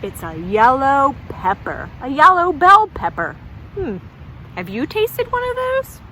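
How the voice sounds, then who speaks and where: A woman telling a folktale at a children's storytime, pausing to ask young listeners questions. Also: high voice, huge pitch swings, pushed and bright, a little hiss or hum, low-quality recording.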